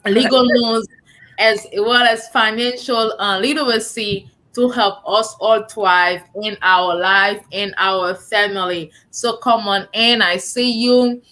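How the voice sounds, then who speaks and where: Woman show host, thirties, bright tone, easy chatty delivery. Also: mid-range voice, steady tone, slow, poor audio. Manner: warm, chatty, many fillers. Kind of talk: live online talk show.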